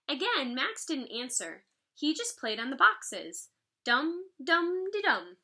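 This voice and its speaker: Woman, thirties, high bright voice, expressive picture book read-aloud, teacherly explanations. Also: slow pace. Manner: expressive, instructive. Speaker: music teacher. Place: living room at home, indoors. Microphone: device mic about arm's length away.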